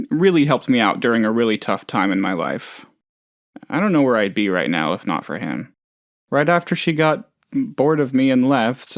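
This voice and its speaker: Man voicing a rambling, naive oddball with swooping pitch. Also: dry recording, studio quality. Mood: grateful, then wistful, lonely. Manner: rambling, hesitant, naive, chatty. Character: hapless, trusting, lonely male oddball, rambling and good-natured. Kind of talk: video game character dialogue.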